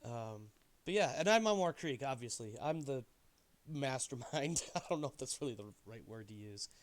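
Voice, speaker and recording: A man hosting a game stream in casual chat, sounding stuffy, animated and jokey, often trailing off into asides. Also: mid-range voice.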